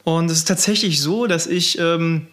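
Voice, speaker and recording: Guy in his thirties from eastern germany, chatty and loose, lots of ums, voice on the high side. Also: moderate pace. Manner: relaxed, chatty, many fillers. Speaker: manor house owner. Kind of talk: casual interview.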